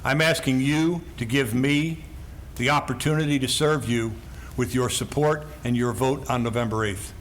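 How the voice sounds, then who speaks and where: A man's voice: medium pitch, moderate pace, a little hiss or hum. Formal older man giving a campaign speech. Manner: formal, emphatic, list-like delivery. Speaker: council candidate. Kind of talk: prepared opening statement.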